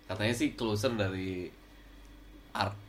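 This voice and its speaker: A man co-hosting a casual podcast about films, relaxed and hesitant, often trailing off with fillers. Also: lowish voice, measured pace, slight background noise. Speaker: podcast co-host. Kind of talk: casual film chat.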